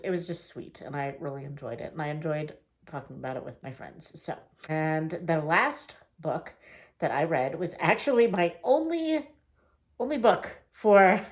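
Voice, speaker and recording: A woman vlogging a casual reading wrap-up, chatty and rambling with fillers and small laughs, her voice getting a little raspy. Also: on the low side, a little mellow. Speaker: book vlogger.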